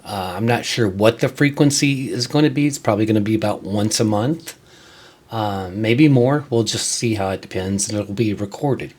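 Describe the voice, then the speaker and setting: A man hosting his own creator show, talking to viewers in a casual, conversational way with frequent halting pauses. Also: on the low side, a little mellow, husky. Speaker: host of a creator video show, musician. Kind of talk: casual scripted-and-ad-libbed vlog to viewers.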